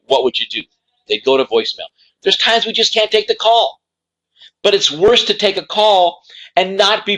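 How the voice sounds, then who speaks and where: Bright-voiced man giving emphatic coaching. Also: on the high side, low-quality recording. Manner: emphatic, repeats key words for stress. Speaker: career coach presenter. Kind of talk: instructional talk to camera.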